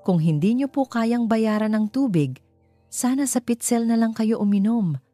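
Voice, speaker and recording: Romance story heroine, read by a woman narrator: young, Filipino accent, tender, playful, expressive delivery. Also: a little high, moderate pace, no room sound, soft background music, pitched above the performer's own voice, lightly acted. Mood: playful teasing.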